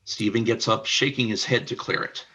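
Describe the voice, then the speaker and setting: Husky male radio play narrator. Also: fairly low pitch, steady tone, pushed and bright, rough recording. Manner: measured, announcer-like.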